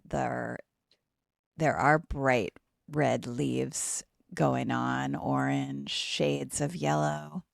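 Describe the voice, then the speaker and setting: Deep, gravelly woman with a morning frog. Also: no echo, clean studio sound. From Northeast US. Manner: slow, descriptive, with a morning frog in her throat. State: frog in her throat. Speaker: podcast co-host. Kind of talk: casual chat.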